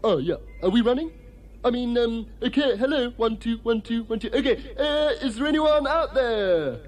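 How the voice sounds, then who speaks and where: A man's voice: very high-pitched, lively intonation, moderate pace, soft background music. A man voicing a bumbling, dim, rambling contest compere in a comic puppet sci-fi show, full of hesitations. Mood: flustered, indignant. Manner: hesitant, rambling, filler-laden.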